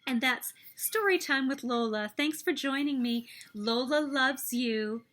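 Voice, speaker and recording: A woman reading a children's picture book aloud as story host, with expressive, emphatic delivery. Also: high voice, unhurried. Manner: expressive, emphatic storytelling with sing-song refrains.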